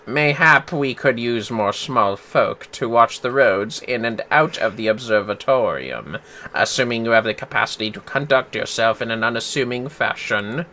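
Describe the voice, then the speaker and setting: A man plays a condescending police inspector from a video game, his voice dropped low. He speaks in a clipped, deliberate way, curt and full of disdain. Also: sharp tone. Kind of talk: video game dialogue read aloud.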